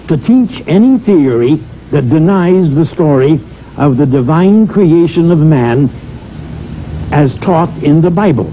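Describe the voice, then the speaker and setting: An older man recounting past events in a measured, hesitant way, with frequent pauses and uh's. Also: on the high side, mellow, a little hiss or hum, rough recording. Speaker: storyteller recalling history. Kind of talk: spoken historical recollection.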